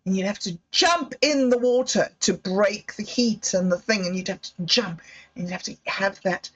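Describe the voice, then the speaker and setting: A woman who grew up in Zimbabwe, telling rambling personal stories on a livestream with frequent laughs and trailing pauses. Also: mid-range voice, fairly bright tone. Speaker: livestream host. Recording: dull lo-fi sound.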